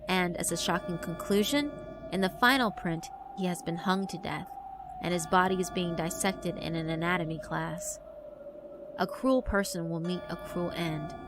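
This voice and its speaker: Low-pitched woman narrating horror over music. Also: moderate pace. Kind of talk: scripted horror visual novel narration. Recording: music behind the voice. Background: background music.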